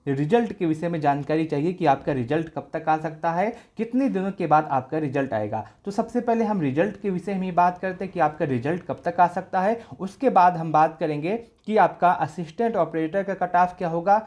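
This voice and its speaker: A man's voice: fairly high pitch, normal speed, few pauses, darkish tone. A man hosting an informational explainer video for exam candidates, going through things step by step and often repeating himself. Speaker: exam update video host.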